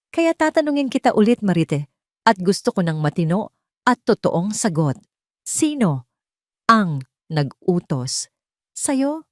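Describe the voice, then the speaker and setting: A woman's voice: mid-range voice, no room sound, studio quality. A woman voicing a cold, angry male CEO who speaks in icy, insistent commands in an audio drama. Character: cold, furious wealthy male CEO demanding answers.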